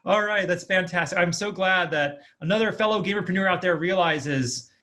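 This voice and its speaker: A man's voice: sits high, normal speed, pushed and bright. A man hosting a casual podcast interview in an enthusiastic way.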